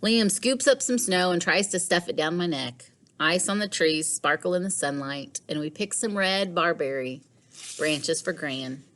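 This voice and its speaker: A woman teacher reading a children's storybook aloud in a measured, animated way. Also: lowish voice. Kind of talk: expressive storybook read-aloud.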